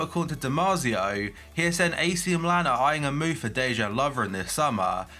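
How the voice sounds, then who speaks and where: Young male newsreader, groggy from hay fever, bright forward tone, plain mid pitch, steady delivery with brief asides. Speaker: football transfer news youtuber. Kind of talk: reading transfer news roundup.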